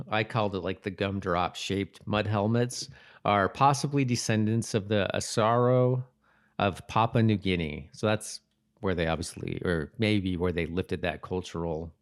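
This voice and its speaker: A man's voice: on the low side, a little slow, clean tone. A man co-hosting a podcast, chatting casually and informally about a TV episode. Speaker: podcast co-host. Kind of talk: casual chat reviewing a TV episode.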